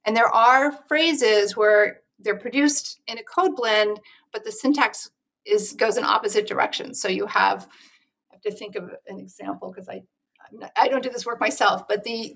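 A woman's voice: fairly high pitch, creaky, fairly bright tone. A woman researcher explaining her field in an interview, thoughtful, with many fillers and restarts. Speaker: researcher guest.

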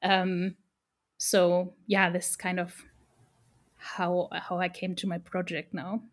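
A woman's voice: on the low side, completely flat delivery, slow. A woman whose first language is German, speaking in English as an interview guest about her research, thoughtful and full of ums and restarts. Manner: thoughtful, many fillers and restarts. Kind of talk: casual academic interview.

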